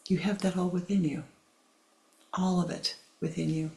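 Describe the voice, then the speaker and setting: A spiritual teacher giving a gentle, tender teaching, repeating phrases and calling listeners "my love", at times dropping to a near whisper. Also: medium pitch, level tone, moderate pace, creaky.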